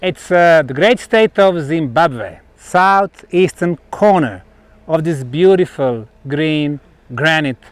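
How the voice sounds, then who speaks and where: A man who is a native Bosnian speaker presenting on location in deliberate, emphatic short phrases with dramatic pauses. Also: on the high side, dry recording. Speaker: presenter and researcher. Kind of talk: on-location explanatory monologue to camera. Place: outdoors on a granite rock platform.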